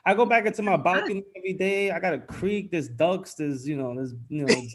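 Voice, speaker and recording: A man's voice: high voice, fairly quick, crowd noise. A man with a New York accent, a guest on a casual panel, telling stories animatedly, stumbling and repeating words, on a video call from home. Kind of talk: casual chat.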